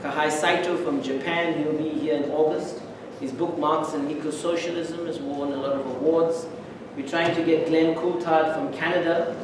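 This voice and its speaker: A man with a slightly high voice introduces a speaker at an academic seminar. He talks informally and in measured phrases with pauses. The sound is distant and very echoey, with clear noise in the room.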